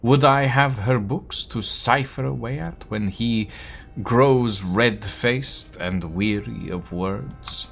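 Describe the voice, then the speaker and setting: A man voicing a guarded, poetic young foreign woman in a text adventure game, with a measured, lilting delivery. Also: mid-range voice, gravelly, fairly bright tone, soft background music, heavily acted. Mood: musing.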